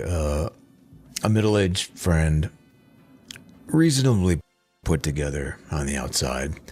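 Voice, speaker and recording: Very deep, slow-talking man. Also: breathy edge. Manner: hesitant, musing, understated. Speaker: video essayist. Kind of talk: reflective, conversational monologue.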